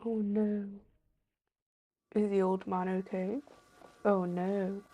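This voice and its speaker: A woman's voice: medium pitch, flat delivery, slow, mellow. A woman livestreaming a game and chatting casually with her viewers. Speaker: live streamer.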